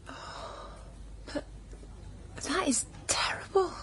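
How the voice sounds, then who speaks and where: An actress playing a wounded, emotional woman in naturalistic radio drama dialogue, with a hushed manner. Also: slow, slight background noise. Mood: sad. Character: wounded, emotional woman haunted by her ancestor's suffering.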